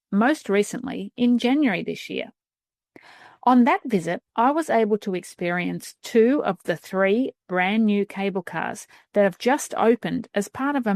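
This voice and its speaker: A woman's voice: medium pitch, darkish tone, no echo, clean studio sound. A woman hosting a travel podcast, reading a scripted intro and then chatting with a guest. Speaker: podcast host.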